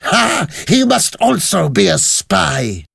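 A man's voice: a little high, sing-song, slow, gravelly, no room sound, clean studio sound, pitched above the performer's own voice, heavily acted. A man voicing a spiteful, eager revolutionary woman conspirator in a storybook. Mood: spiteful glee.

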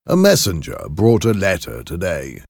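A man voicing a grave, concerned lawyer in a storybook, with a measured, probing manner. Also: low-pitched, wildly swooping pitch, creaky, dry recording, clean studio sound, heavily acted. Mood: serious. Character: grave, concerned male lawyer, questioning and suspicious. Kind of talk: audiobook character acting.

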